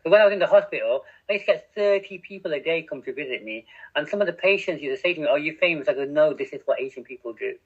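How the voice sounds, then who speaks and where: A man's voice: a little high, animated, very fast, few pauses, husky. Middle-aged man on a tinny line. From England. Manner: open and reflective, restarts often, laughs at himself. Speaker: podcast guest, radio community editor. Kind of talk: candid conversational interview.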